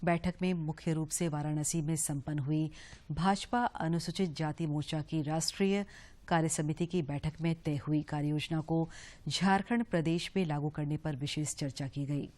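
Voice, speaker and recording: A woman news anchor reading regional news in a steady, formal delivery. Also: sits low, moderate pace.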